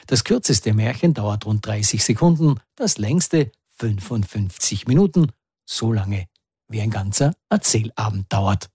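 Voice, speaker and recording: A man narrating a feature and interviewing a guest in a measured, calm way. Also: fairly low pitch, husky, no echo, clean studio sound.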